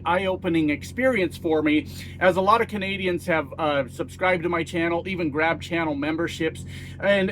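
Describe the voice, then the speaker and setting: A man's voice: high voice, fairly bright tone, slight background noise. Folksy, blunt middle-aged American man. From United States. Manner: folksy, blunt, swears freely, self-mocking. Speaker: YouTube commentator. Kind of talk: casual political commentary to camera.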